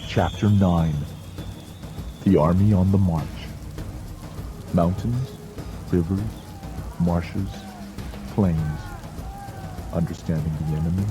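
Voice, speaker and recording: A man narrating a dramatized audiobook in a solemn, measured, emphatic manner. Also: low voice, fairly even intonation, music in the background.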